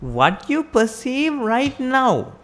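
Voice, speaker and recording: Slow, lively-toned woman giving a sermon. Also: high voice. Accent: Sri Lankan. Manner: questioning, explains step by step with rhetorical questions. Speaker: Buddhist teacher.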